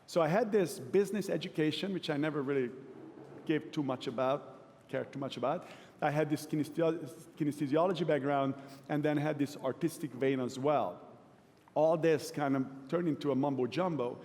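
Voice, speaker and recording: A man's voice: a little high, normal speed, slight background noise. Hungarian-born man speaking in a big hall. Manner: relaxed, self-deprecating storytelling. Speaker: keynote speaker. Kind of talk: conference talk. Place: large event hall. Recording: long hall reverb.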